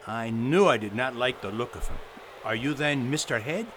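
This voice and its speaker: Man as a forceful, stern mountain guard. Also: mid-range voice, moderate pace, slight background noise, close to an everyday voice. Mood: stern, wary. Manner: urgent, commanding. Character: stern, cautious, protective mountain guide and guard. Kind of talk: radio drama acting.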